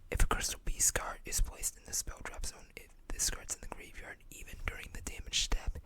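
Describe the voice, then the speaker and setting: ASMR host: full whisper, breathy and sharp-edged, hushed deliberate reading with informal asides. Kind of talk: whispered ASMR, reading trading card text with casual commentary.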